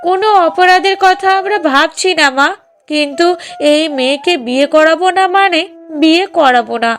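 Cartoon mother, middle-aged, stubborn and anxious, very high voice, emphatic pleading over music female voice actor. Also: normal speed, fairly bright tone. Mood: firm refusal. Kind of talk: Bengali moral-story cartoon dubbing.

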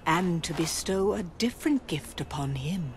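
An actress playing a solemn, cryptic psychic mentor in a video game, speaking in a measured, formal way. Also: female voice, fairly low pitch, animated, very noisy. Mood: calm, instructing. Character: solemn older female psychic mentor of superheroes. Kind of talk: video game cutscene acting. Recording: music underneath the voice.

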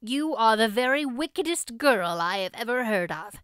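A woman's voice: a little high, lively intonation, normal speed, a bit bright, clean tone, no echo, studio quality. A woman voicing a strict, exasperated female guardian in a storybook, curt, firm and indignant. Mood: grim.

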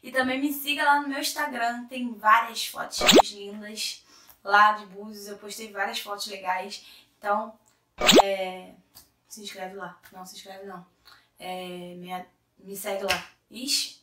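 A young Brazilian woman with a hoarse cold voice, chatting to camera as a vlogger in a chatty, self-interrupting way. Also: medium pitch, fairly even intonation, measured pace, distant mic, very noisy. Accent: Brazilian Portuguese. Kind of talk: casual chat to camera. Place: small room indoors. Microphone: camera in front at medium distance. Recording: echoey room, a little off-mic.

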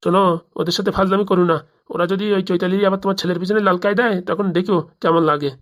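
A woman's voice: mid-range voice, steady tone, racing, natural voice. Lady doing a henpecked older cartoon uncle, complaining at top speed. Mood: exasperated. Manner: pleading, complaining. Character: hapless, pleading older village uncle and henpecked husband. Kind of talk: comic cartoon dialogue.

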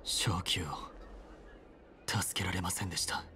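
Very deep, grave young-warrior scout. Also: male voice, level tone, measured pace, vocal fry, sharp tone, whispered, heavily acted. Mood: hushed regret. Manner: terse, serious, restrained. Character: grave, dutiful male scout reporting to his general. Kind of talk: Japanese anime game voice acting. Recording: music behind.

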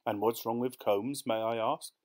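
A man's voice: mid-range voice, steady tone, a little mellow, lightly acted. Man acting a pompous, controlling husband. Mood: irritated, commanding. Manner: deliberate, commanding. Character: pompous, dawdling, controlling well-to-do older husband. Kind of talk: audiobook character voice.

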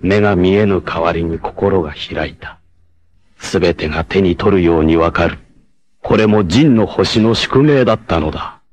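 A man's voice: low voice, moderate pace, a little mellow, muffled recording, close to an everyday voice. A man voicing a noble, self-sacrificing blind warrior leader in a 1980s anime, with a solemn, formal, declamatory delivery. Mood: serene, gentle. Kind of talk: 1980s anime martial-arts voice acting.

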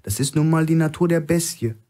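A man's voice: fairly high pitch, fairly quick, warm tone, pitched above the performer's own voice, close to an everyday voice. A man voicing a shrewd, defiant male hostage in a thriller audiobook, insistently reasoning to provoke his captor. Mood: pressing, provoking. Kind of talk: audiobook character acting.

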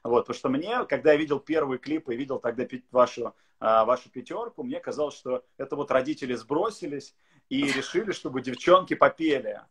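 Husky-voiced man hosting a video call. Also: mid-range voice. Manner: relaxed, many filler pauses, friendly laughs. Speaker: live-stream host. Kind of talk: casual interview chat. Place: indoor room.

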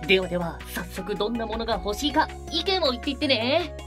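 A male actor voicing a gentle, cheerful young male idol who talks in a sweet, lightly teasing way, recorded on a binaural mic. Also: extremely high pitch, sing-song, fast, soft background music, full character voice. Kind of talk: anime voice drama.

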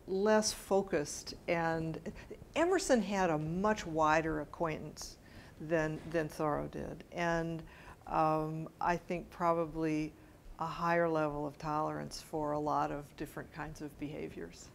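A woman's voice: deep voice, fairly even intonation, unhurried, creaky, slight background noise. A middle-aged woman answering questions after a scholarly talk, informal and hesitant, often correcting herself as she thinks aloud. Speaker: lecturer answering audience questions. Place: bright mid-sized library meeting room with audience.

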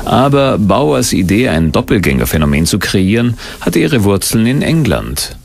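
Deep, gravelly male documentary narrator. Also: very animated, normal speed.

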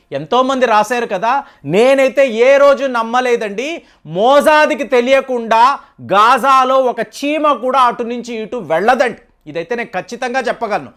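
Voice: mid-range voice, a bit bright. A commentator giving news analysis straight to viewers, explaining conversationally and emphatically with frequent rhetorical questions. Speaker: news commentary channel host.

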